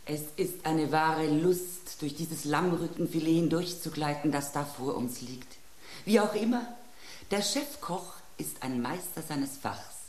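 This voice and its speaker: A woman's voice: low voice, unhurried, a little mellow. A woman voicing a self-satisfied, sensual table knife in a radio drama, savouring her words with grand refinement. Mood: savouring delight. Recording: roomy, echoing sound.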